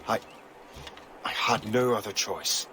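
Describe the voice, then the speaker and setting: An actor playing a troubled, brooding young sea captain in a video game. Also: male voice, fairly low pitch, slow pace, bright, forceful tone, a little hiss or hum. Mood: grim. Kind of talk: video game cutscene acting.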